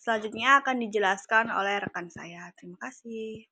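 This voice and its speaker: A woman's voice: mid-range voice, normal speed, sharp tone, breathy edge, no room sound. A young woman, a student, walking through accounting calculations in a class presentation, talking hesitantly with fillers. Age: young adult.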